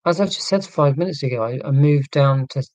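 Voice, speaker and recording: A middle-aged man with a neutral southern English accent, talking slowly and deliberately in a casual, reflective monologue. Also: fairly high pitch, a little mellow, dry recording. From Scotland, raised in England. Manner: slow, deliberate speech with pauses. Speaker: vlogger.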